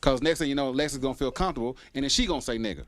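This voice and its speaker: A man's voice: mid-range voice, racing, no echo. A middle-aged man hosting a casual comedic talk show, swearing freely and arguing his point with repetition. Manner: animated, argumentative, repeats himself for emphasis.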